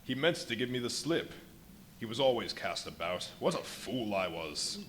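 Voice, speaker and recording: A man acts out an invisible fugitive scientist in a live radio drama. He is imperious, exhausted and irritable, with very mobile pitch and theatrical exclamations. Faint noise sits behind the voice. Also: big pitch swings, normal speed, a bit bright. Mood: irritable, angry. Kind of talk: live radio play acting. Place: live performance at a brewery venue.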